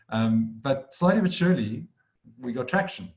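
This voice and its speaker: A man's voice: mid-range voice, sing-song, slow pace. A man in his thirties from South Africa with a South African accent, a firm director telling his story fluently on a webinar. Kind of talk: webinar conversation.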